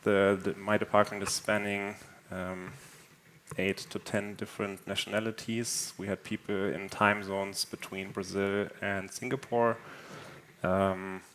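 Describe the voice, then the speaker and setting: A man from northern Germany, speaking on a conference panel in a thoughtful, measured way with frequent fillers. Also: on the low side, level tone, a bit bright, slight background noise. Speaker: panel speaker, engineering executive. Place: conference stage.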